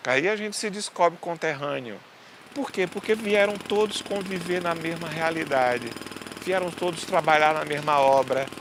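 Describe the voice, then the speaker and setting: A man from Northeast Brazil with a slightly high voice lectures at length. He poses rhetorical questions and tags his points with questions. He is recorded outdoors, with faint city noise around him. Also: moderate pace. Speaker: interviewed researcher. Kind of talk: documentary interview.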